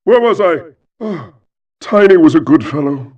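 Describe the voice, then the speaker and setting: A man's voice: on the high side, expressive, normal speed. A man voicing an exasperated, sneering mad-scientist villain in a video game, with theatrical delivery. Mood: rueful. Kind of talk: video game cutscene voice acting. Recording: dull, distant lo-fi game audio.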